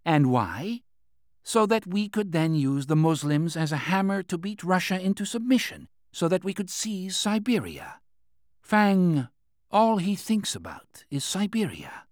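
A narrator lifts his voice into a worried older minister with a raised, pressing pitch and a dry, close sound. The official pleads urgently and pushes each point with mounting dread. It is a storybook figure voiced in a tight studio. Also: big pitch swings, a little slow, no echo. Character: fearful older male Chinese minister, earnest.

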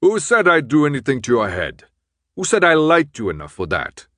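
Gravelly, gruff gray-haired man voiced by a male narrator. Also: fairly low pitch, sing-song, moderate pace, a bit bright, no echo, studio quality. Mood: disgusted, angry. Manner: curt, dismissive.

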